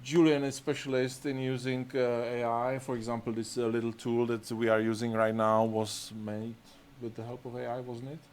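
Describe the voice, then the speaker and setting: A man's voice: medium pitch, little pitch movement, moderate pace, a little mellow, clean tone. A man whose first language is Czech, answering questions in a casual Q&A, hesitant and full of fillers as he searches for words. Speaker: game studio staff answering questions. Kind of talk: casual Q&A talk.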